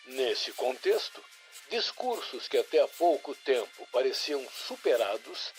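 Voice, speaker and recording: A man's voice: mid-range voice, a little mellow, close to an everyday voice. A man playing a formal, polished nineties radio news announcer with a Brazilian accent, reading a scripted bulletin in an audio drama. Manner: formal, deliberate. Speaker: radio newsreader.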